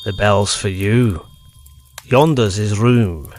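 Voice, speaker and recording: Slow, grudging old manservant acted by a man. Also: on the low side, noisy background. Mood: gruff, grudging. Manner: terse, grudging, rustic turns of phrase. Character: gruff, grudging old manservant on a lonely moor. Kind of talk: period audio drama acting.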